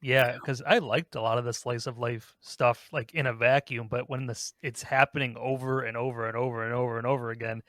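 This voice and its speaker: A man's voice: mid-range voice, steady tone, fairly quick, few pauses, a bit bright, dry recording, studio quality. A man talking casually and giving his opinions as a podcast co-host.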